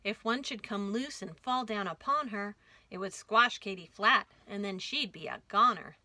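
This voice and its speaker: A woman hosting a children's storytime, reading aloud with an expressive, deliberate delivery for young listeners. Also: medium pitch, no echo. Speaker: children's librarian and storytime host.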